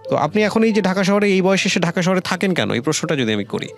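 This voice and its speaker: A Bangladeshi man hosting a conversational life-story radio interview, speaking in a reflective, deliberate way with some English words mixed in. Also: sits high, big pitch swings. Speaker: radio show host. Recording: music under the voice. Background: background music.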